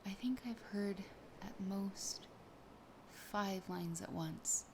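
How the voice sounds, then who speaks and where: A woman voicing a sassy, possessive, lonely siren in a soft, breathy, often whispered ASMR roleplay, slow, teasing and intimate. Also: mid-range voice, background noise. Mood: curious, shy. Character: possessive, sassy, lonely female siren toying with her catch.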